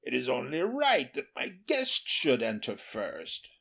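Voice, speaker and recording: A blunt, honest peasant charcoal burner from a storybook voiced by a male narrator. He is plain-spoken and gruffly hospitable, with strong swings in his pitch. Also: wildly swooping pitch, takes it slowly, pushed and bright, exaggerated voice. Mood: bossy, indignant.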